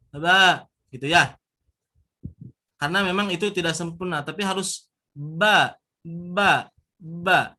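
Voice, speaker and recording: A man with a Sundanese accent teaching Quran recitation, sounding out syllables slowly and firmly and repeating them. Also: fairly high pitch, bright, forceful tone. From West Java. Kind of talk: recitation lesson.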